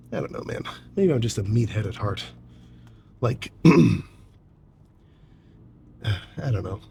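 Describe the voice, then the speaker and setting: A young man with a stuffy, congested voice, talking casually to camera about training, swearing freely and clearing his throat often. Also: mid-range voice, a little mellow. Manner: laid-back, rambling, swears freely, frequent throat clearing. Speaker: bodybuilding vlogger. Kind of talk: casual vlog talk to camera.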